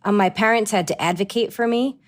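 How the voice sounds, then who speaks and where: A woman in her twenties from Rhode Island, answering interview questions in an earnest, talkative way with quick self-corrections. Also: mid-range voice. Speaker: actress and guest.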